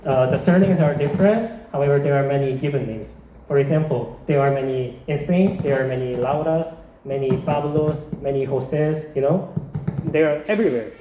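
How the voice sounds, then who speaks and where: A man's voice: mid-range voice, normal speed, noisy background. A man in his twenties from South Korea, giving a chatty classroom presentation and running a quiz, with frequent fillers and restarts. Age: 20s. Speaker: exchange student presenting a quiz. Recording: roomy, slightly muffled classroom audio.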